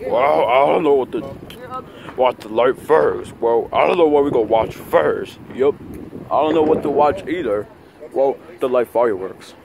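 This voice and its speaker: A man improvising a plush toy skit outdoors, talking in a rambling, repetitive, halting way into a handheld phone. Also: medium pitch, vocal fry, crowd noise. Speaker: puppeteer of plush toys. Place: outdoors on grass at night. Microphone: handheld phone camera close by. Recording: noisy outdoor phone video recording.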